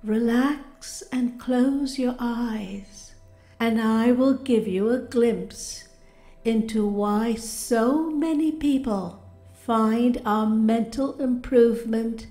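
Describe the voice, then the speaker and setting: A woman narrating a scripted guided meditation with soothing affirmations in a calm, gentle, deliberate manner. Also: on the high side. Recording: roomy reverberant voice over music. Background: music.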